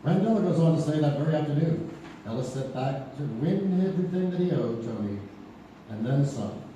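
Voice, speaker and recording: An actor playing a measured storyteller, narrating a live stage radio play. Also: male voice, fairly high pitch, animated, warm tone, natural voice. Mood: storytelling. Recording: echoey theater, distant mic, faint noise.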